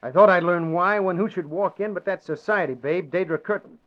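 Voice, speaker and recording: A man's voice: sits high, normal speed, natural voice. Man playing a slangy streetwise reporter. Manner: breezy slang. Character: wisecracking male newspaper reporter, streetwise. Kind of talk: 1940s radio acting. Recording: muffled old radio broadcast.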